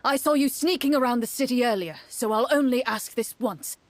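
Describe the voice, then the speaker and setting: A stern captain of the guard, played by a woman, suspicious of every outsider. The delivery is gruff and commanding, as game roleplay that challenges whoever is listening. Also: fairly high pitch, a little fast, no echo, pitched above the performer's own voice, natural voice. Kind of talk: video game tabletop roleplay acting.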